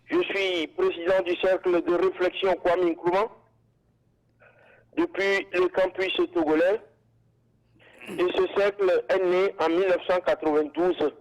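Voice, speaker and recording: A man's voice: sits high, flat delivery, unhurried, long pauses. A man from Togo, a panelist, giving a formal, solemn self-introduction over a video call. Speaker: panelist guest. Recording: dull lo-fi call audio.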